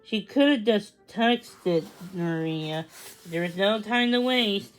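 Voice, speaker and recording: A woman's voice: medium pitch, animated, takes it slowly, faint music behind, poor audio. A woman narrating a children's story as a read-aloud. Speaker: storybook reader.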